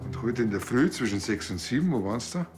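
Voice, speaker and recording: A man's voice: medium pitch, lively intonation, fast, a little mellow, soft background music, close to an everyday voice. An actor playing a blunt, nosy village policeman with a Bavarian accent, talking dryly and colloquially. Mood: dry, probing.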